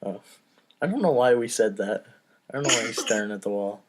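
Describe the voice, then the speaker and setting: A man's voice: lowish voice, expressive, fairly quick, noisy background. A man casually reading out script stage directions for a comedy table read, with informal off-the-cuff asides.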